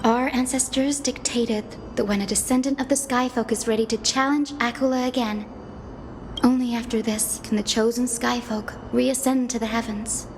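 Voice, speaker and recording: Woman as a high-voiced, earnest young healer heroine. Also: steady tone, normal speed, background noise, lightly acted. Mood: solemn resolve. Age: young adult. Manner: earnest, polite, formal. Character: gentle, resolute young healer heroine of sky people. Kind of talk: video game voice acting.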